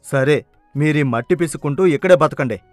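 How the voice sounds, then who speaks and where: A man's voice: a little high, moderate pace, dry recording, soft background music, lightly acted. A man playing a greedy, arrogant elder brother in a village moral story, with a brash, insistent manner. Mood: scornful.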